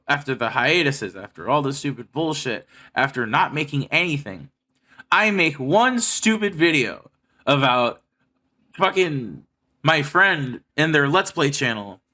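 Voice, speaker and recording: A man's voice: fairly high pitch, pushed and bright. A man of thirty speaking earnestly and with heavy swearing in a personal monologue to his online audience. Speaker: online video creator making comic dubs and skits.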